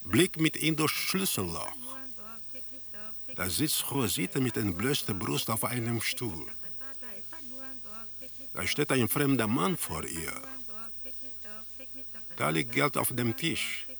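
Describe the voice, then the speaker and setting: A man playing a detached observer in a radio drama, narrating surreal scenes in a measured, deliberate way. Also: mid-range voice, sing-song, gravelly, a bit bright, heavily acted. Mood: detached, ominous.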